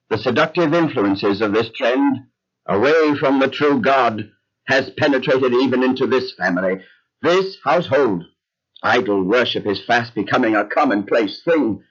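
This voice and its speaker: An actor playing an earnest, devout young husband who speaks in a measured way in an old-time radio drama. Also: male voice, mid-range voice, fairly bright tone. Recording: muffled lo-fi old recording.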